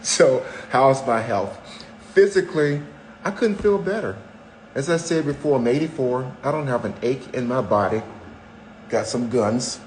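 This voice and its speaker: A man's voice: medium pitch, expressive, a little slow, a little hiss or hum, low-quality recording. A man in his seventies chatting candidly on a podcast, telling stories with wry, self-mocking jokes. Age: 70s. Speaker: podcast co-host, husband. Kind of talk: casual chat.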